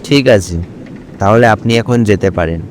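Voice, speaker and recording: A man's voice: on the low side, normal speed, a little hiss or hum, lightly acted. A male actor playing a puzzled, curious police officer in an audio drama, speaking in a questioning manner. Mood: firm, polite. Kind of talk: Bengali audio drama dialogue.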